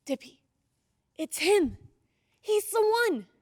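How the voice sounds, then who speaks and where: A woman's voice: extremely high pitch, sing-song, takes it slowly, no echo, studio quality, exaggerated voice. A woman playing an earnest, insistent ten-year-old girl in an old-time radio drama, urgent and pleading. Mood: alarmed.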